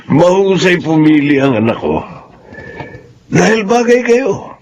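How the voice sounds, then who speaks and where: An actor playing a wealthy older father and company boss, who speaks in a fatherly, deliberate way with soft chuckles. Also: high voice, big pitch swings, a little hiss or hum, full character voice. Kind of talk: radio drama acting.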